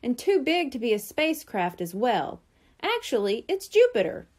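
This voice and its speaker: A woman's voice: on the high side, huge pitch swings, normal speed, darkish tone. A woman voicing a brainy, condescending young boy in a storybook, explaining things precisely and patiently. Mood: know-it-all. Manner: precise, explanatory, know-it-all.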